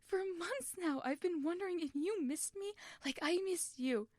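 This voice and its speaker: A woman's voice: very high voice, moderate pace, a little mellow, no room sound, studio quality, pitched above the performer's own voice. A woman voicing a hurt, furious young male ghost in a story, snapping accusations and pleading as one betrayed. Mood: angry, hurt.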